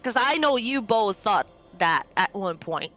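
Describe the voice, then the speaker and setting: A woman speaking in character as a player in a tabletop roleplaying game, deliberate and reassuring. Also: mid-range voice, expressive, a bit bright. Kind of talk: improvised in-character conversation.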